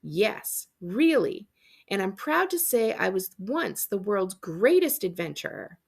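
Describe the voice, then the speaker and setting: A woman voicing a warm, eccentric retired adventurer aunt from a storybook, with an effusive and grandly dramatic delivery. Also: medium pitch, very animated, unhurried, gravelly, slightly rough, exaggerated voice. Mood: proud, reminiscing.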